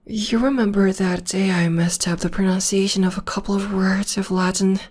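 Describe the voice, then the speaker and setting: A woman playing a gentle, loving witch girlfriend in an intimate ASMR roleplay, speaking softly and tenderly in a breathy half-whisper. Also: mid-range voice, little pitch movement, natural voice. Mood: fond, reminiscing. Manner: tender, slow, soothing. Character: gentle, loving witch girlfriend comforting her demon partner.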